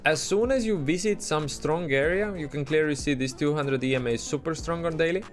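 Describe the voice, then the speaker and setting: Estonian-accented man chatting over soft music. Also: on the high side, a little fast. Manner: loose, chatty, reading out chat messages, many restarts and fillers. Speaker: live stream trader. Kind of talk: casual live-stream commentary.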